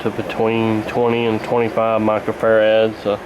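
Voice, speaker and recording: A man narrating a repair casually in an unhurried, halting, matter-of-fact way. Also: on the low side, completely flat delivery, muffled recording, background noise. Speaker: radio repair hobbyist presenting.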